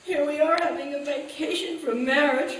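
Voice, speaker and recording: A woman acting a scheming, excitable housewife in a live re-staged old-time radio comedy. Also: high voice, normal speed. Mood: conspiratorial. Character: scheming, jealous, excitable 1940s sitcom housewife. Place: live stage event before an audience. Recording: echoey hall from far off, noisy.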